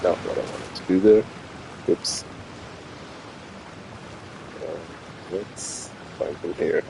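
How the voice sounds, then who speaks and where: A man's voice: low voice, steady tone, takes it slowly, vocal fry, noisy background. A man giving casual gameplay commentary as a streamer, muttering dry, sarcastic asides. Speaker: streamer playing a video game.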